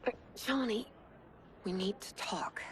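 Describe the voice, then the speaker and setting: An actress playing a blunt, exasperated wife, sarcastic and scolding, in a cinematic video game. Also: female voice, medium pitch, slow pace, noisy background, close to an everyday voice.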